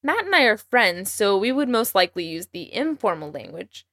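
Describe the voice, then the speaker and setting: A young woman raised in Texas, speaking with a Texan American accent, hosting a podcast language lesson with clear, careful pronunciation. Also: medium pitch, big pitch swings, normal speed, a bit bright, no echo, clean studio sound. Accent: American English, Texas. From Iran. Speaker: language teacher, podcast host.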